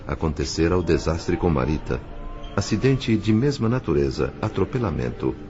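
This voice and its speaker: A man giving a solemn, measured, scripted narration for a literary audio drama. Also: low-pitched. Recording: dull lo-fi narration track. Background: music.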